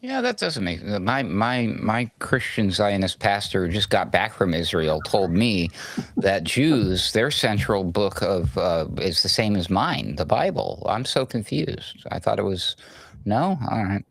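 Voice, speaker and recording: A man's voice: on the low side, big pitch swings, measured pace, creaky, a bit bright, no room sound. A man co-hosting a political commentary chat, joking with sarcasm. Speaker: co-host.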